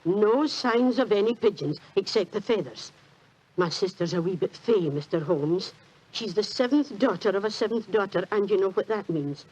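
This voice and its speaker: An actress playing a humble, kindly old British landlady, husky, deferential and hesitant, in 1940s radio drama style. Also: mid-range voice, lively intonation, moderate pace, a little mellow. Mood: anxious, earnest. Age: older. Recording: dull 1940s radio recording.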